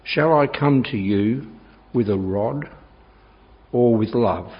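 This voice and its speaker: A man reading scripture aloud in a measured, solemn way. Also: mid-range voice. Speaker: scripture reader. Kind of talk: Bible reading. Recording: dull lo-fi church recording.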